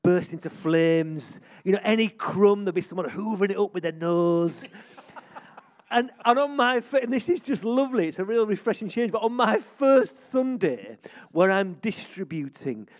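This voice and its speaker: Lively high-pitched vicar. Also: male voice, normal speed. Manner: jokey, animated storyteller. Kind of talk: church interview, comic storytelling.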